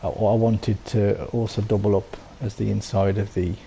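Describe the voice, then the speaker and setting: A man with a sore throat and a croaky voice, casually talking through how he built something, rambling with lots of ums and restarts. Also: lowish voice, flat delivery, normal speed, warm tone, a little hiss or hum. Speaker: game settlement builder. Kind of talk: casual voice-over walkthrough.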